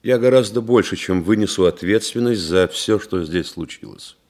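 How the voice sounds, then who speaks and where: A male actor playing a composed, thoughtful doctor turned detective in a Russian radio play, speaking in a deliberate, measured way. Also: lowish voice, a little mellow, natural voice. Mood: composed, firm. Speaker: lead actor, also voicing his thoughts.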